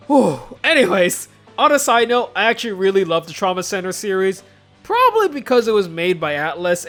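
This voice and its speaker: A casual, joking video host narrating a scripted countdown commentary. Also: medium pitch.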